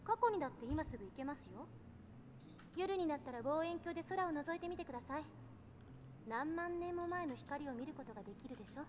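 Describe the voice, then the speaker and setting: A self-assured teenage genius girl from a visual novel. She explains things at a racing pace in a high voice, composed and confident. Faint noise sits behind the game audio. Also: expressive, very fast. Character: self-assured eighteen-year-old genius girl lecturing on physics. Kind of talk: Japanese visual novel voice acting.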